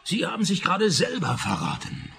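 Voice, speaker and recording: An actor in a radio play playing a cynical private detective who narrates and questions with dry sarcasm. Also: male voice, high voice, huge pitch swings, normal speed, creaky, fairly bright tone, exaggerated voice. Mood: probing. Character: sardonic, cynical male private detective narrating his case.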